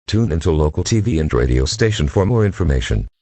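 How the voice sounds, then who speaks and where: Very deep husky male alert announcer. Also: big pitch swings, quick pace, dark tone, dry recording. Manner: formal, impersonal. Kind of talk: scripted emergency alert announcement.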